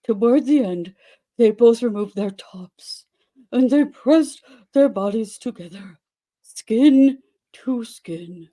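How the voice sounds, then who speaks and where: A woman giving a theatrical dramatic reading of a story, with exaggerated delivery and dramatic pauses. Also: fairly high pitch, animated, mellow. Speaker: dramatic reader.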